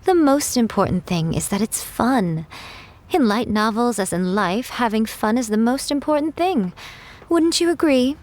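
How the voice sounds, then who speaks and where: A woman playing a poised, playful fox shrine maiden in a video game, smooth, composed and teasing in manner. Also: on the high side, animated, unhurried, a little mellow, slight background noise. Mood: musing, playful.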